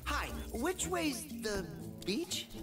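A man's voice: very high voice, sing-song, very slow, heavily acted. An actor voicing a cheeky, brash young male dragon in a video game, wisecracking. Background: game music.

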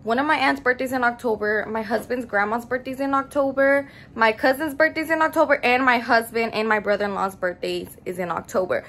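A woman's voice: on the high side, fairly even intonation, fairly quick, hardly any pauses, fairly bright tone. A woman in her early twenties chatting to her phone camera in a bubbly way, calling her viewers "y'all". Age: early 20s. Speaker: vlogger. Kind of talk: casual chat to camera. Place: home bedroom, then car and stores. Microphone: phone camera at arm's length.

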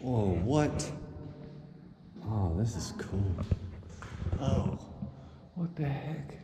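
A man's voice: mid-range voice, very slow, very noisy. A man from Texas talking casually while exploring an old concrete fort for a travel vlog. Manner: excited, exploring on the move. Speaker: travel vlogger.

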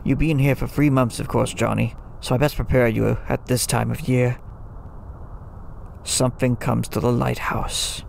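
A man's voice: medium pitch, level tone, normal speed, gravelly, a little mellow, slight background noise. A man playing a brooding, poetic old lighthouse keeper in an audio drama, musing like a storyteller. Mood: grave, secretive. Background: music.

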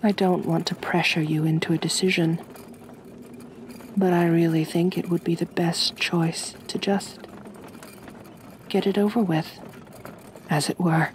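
A woman voicing a gentle, possessive aristocratic vampire mistress in an ASMR roleplay, soft-spoken, formal and soothing. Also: fairly low pitch, steady tone, a little slow, long pauses, a little hiss or hum, lightly acted. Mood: gently coaxing.

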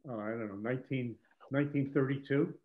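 A man in his seventies speaking haltingly in an informal video-call discussion, on thin audio. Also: medium pitch, narrow, tinny audio. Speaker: audience participant. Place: living room on a video call. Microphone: headset.

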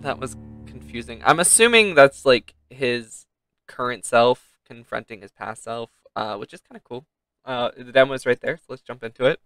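A man's voice: medium pitch, normal speed, a bit bright, no room sound, faint music behind. A young man giving casual reaction commentary as a game streamer.

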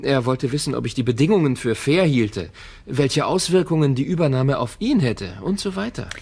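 Gravelly, wary tech founder. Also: male voice, mid-range voice, lively intonation, normal speed, darkish tone. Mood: resigned. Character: wary, world-weary male tech company founder. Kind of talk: German audio drama dialogue.

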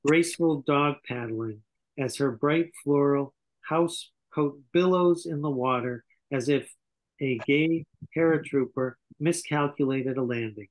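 Older man narrating a script, medium pitch. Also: measured pace, low-quality recording. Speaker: narrator reading stage directions. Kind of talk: scripted narration at a table read. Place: small home room, indoors, on a video call. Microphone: computer video call.

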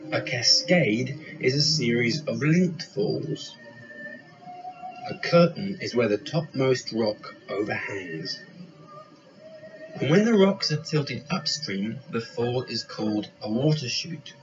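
A young man narrates a documentary in a slow, emphatic, theatrical way. Music plays behind him, and the sound is a little dull and lo-fi. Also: mid-range voice, very animated. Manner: dramatic, emphatic. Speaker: presenter.

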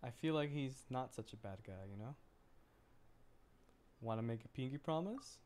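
A man's voice: medium pitch, normal speed, background noise. A man giving casual, chatty, giggly livestream commentary while playing a video game. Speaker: VTuber game streamer. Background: game music.

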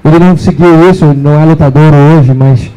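A man's voice: fairly high pitch, steady tone, brisk, lo-fi sound, over music. A man speaking thoughtfully and with some hesitation at a panel on an indoor stage, holding a mic. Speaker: guest. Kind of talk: panel discussion.

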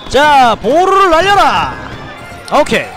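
A man from Daegu with a Daegu dialect accent, giving excitable live commentary on a strategy game, shouting orders and muttering to himself. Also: very high-pitched, takes it slowly, soft background music. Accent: Gyeongsang (Daegu) dialect. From Daegu, South Korea. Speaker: game streamer.

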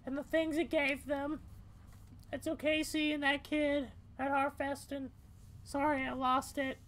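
A man's voice: very high voice, level tone, measured pace, fairly bright tone. A man voicing an exhausted, frightened young female animal in a dramatic video game reading. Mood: drained, shaken.

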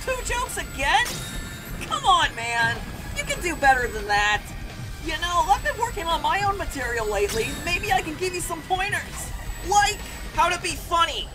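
A woman plays a very high-pitched, brash young male hedgehog hero from a game fan dub. The delivery is lively, cocky and sarcastic, always ready to taunt, over loud music. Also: moderate pace, rough recording.

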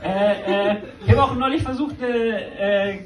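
A young man doing stand-up on a small club stage with a handheld mic, dry deadpan jokes with hesitant fillers, filmed from the audience. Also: sits high, unhurried, recorded from a distance. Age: young adult. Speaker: stand-up comedian. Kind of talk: stand-up comedy set. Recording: roomy club sound, crowd noise.

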